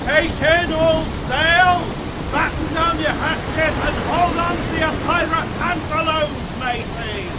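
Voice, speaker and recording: A man playing a fierce old pirate captain, shouting commands in pirate slang in a radio play over a storm at sea. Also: very high-pitched, takes it slowly. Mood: fierce, rousing. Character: tall, broad, fierce old pirate captain bellowing orders. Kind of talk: swashbuckling radio play acting. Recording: loud storm and sea noise behind.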